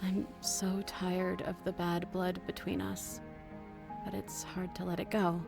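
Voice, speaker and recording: A woman's voice: lowish voice, completely flat delivery, measured pace, vocal fry, over music. A woman voicing a bitter, distrustful captive princess in a horror game, with brooding, theatrical delivery. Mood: weary, hurt. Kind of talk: video game voice acting.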